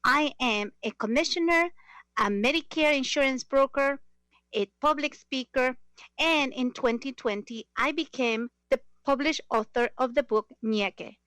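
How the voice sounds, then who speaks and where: Female talk show host, middle-aged, slightly high voice, very dry recording. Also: fairly bright tone, dry recording. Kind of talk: radio interview hosting.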